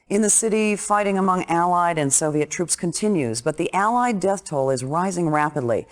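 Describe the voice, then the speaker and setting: A man acting as a grave television war news anchor in a video game, with a formal, measured broadcast delivery. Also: on the high side, close to an everyday voice.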